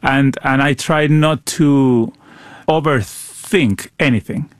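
A middle-aged man from Venezuela with a Venezuelan accent, answering interview questions in a halting way, often repeating words. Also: medium pitch, dry recording. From Caracas, Venezuela. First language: Spanish. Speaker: musician, interview guest. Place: radio studio.

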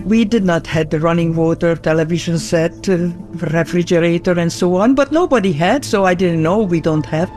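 A woman's voice: on the low side, moderate pace, hardly any pauses, darkish tone. Hungarian-accented woman scientist, reflective interview clip, light music bed.

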